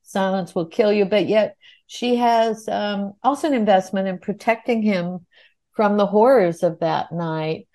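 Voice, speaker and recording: A woman's voice: medium pitch, unhurried, warm tone. Articulate woman interviewer. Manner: articulate, long reflective sentences. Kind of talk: thoughtful interview questions.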